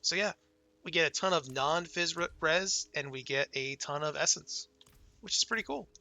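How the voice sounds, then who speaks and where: A young man casually talking through his game character, laid-back and excited, his voice worn and going hoarse from a cold. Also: on the high side, normal speed. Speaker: gaming channel host. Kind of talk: casual gameplay commentary.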